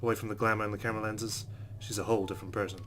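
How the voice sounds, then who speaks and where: A man hosting a let's play, reading visual novel narration and inner thoughts aloud in a dramatic, expressive way. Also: on the low side, rapid-fire, a little hiss or hum. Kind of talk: expressive read-aloud game narration with reactions.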